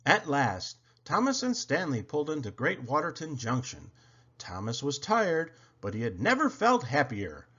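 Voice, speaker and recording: A man reading a children's picture book aloud in an expressive, emphatic storytelling way. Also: on the high side, normal speed, husky. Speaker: storybook reader. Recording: dull, lo-fi home recording.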